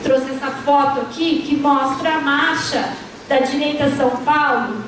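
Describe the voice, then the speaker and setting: A high-pitched woman delivers a university panel lecture, often dropping a questioning "né" and breaking off words to restart them. She sounds distant in a very echoey lecture hall, with clear background noise in the room. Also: fairly even intonation, a little slow. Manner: frequent tag "né", restarts mid-word. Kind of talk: academic panel talk with slides.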